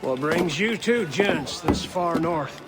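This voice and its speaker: A grand, boisterous pirate captain for a game, theatrical and slow, his pitch swinging widely. Loud music plays behind. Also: expressive. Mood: genial menace. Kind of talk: video game cutscene acting.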